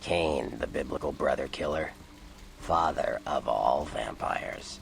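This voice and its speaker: An old vampire fixer in a video game, his voice rock-bottom deep and full of gravel. He explains things with dry, slangy sarcasm, like someone who has seen it all. Faint noise sits behind the voice. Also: slow pace, full character voice. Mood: grave, dry. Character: worldly, sardonic old vampire informant, man. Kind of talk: video game character dialogue.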